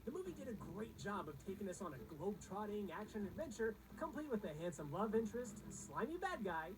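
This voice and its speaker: A woman's voice: mid-range voice, very animated, fast talker, noisy background. A woman reading scripted comedic countdown narration in a sarcastic, snarky manner.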